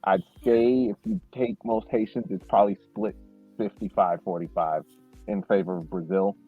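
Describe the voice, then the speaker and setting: A male podcast co-host telling stories in a relaxed, reflective way, with many fillers and restarts. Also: fairly low pitch, moderate pace, slightly rough, soft background music. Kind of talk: casual podcast chat. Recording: tinny, phone-like dry sound.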